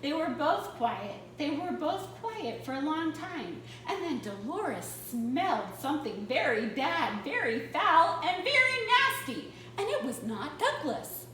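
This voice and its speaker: Female children's storyteller: dramatic read-aloud, high and bright, unhurried, in a very echoey room. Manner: expressive, dramatic. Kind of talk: animated picture-book read-aloud. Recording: very echoey room, distant mic, faint noise.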